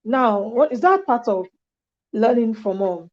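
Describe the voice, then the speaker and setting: A woman's voice: on the high side, lively intonation, unhurried. A woman hosting an interview, speaking in a conversational way and correcting herself as she goes. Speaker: interviewer host. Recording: dull lo-fi sound.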